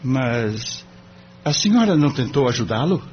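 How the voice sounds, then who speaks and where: An actor with a Brazilian accent playing an earnest young lawyer, polite, formal and gently probing in an audio drama. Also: male voice, mid-range voice, sing-song, measured pace. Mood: reassuring, probing. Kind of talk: audio drama acting in Portuguese. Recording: dull lo-fi audio with clear noise.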